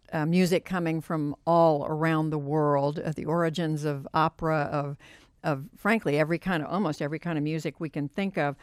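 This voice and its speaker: A man hosting a discussion, putting questions to guests in short, halting, measured phrases. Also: fairly high pitch, smooth, no echo, studio quality. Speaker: host, moderator. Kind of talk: interview questioning.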